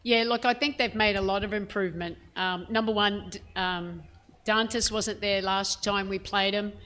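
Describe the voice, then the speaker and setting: A woman head coach answering reporters' questions at a press conference, frank and measured, with plenty of "um" and "you know". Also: medium pitch, steady tone, pushed and bright. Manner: frank, measured, many fillers.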